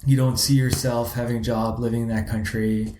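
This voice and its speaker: A man's voice: mid-range voice, level tone, fairly quick, dark tone, reverberant, slight background noise. A man from Zimbabwe, a guest in an interview, talking casually and often restarting his sentences. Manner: hesitant, many restarts and fillers.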